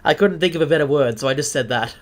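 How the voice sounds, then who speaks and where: An Australian man hosting a live stream, chatting casually with viewers and often pausing to search for the right word. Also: fairly high pitch. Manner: chatty, searches for words, self-deprecating.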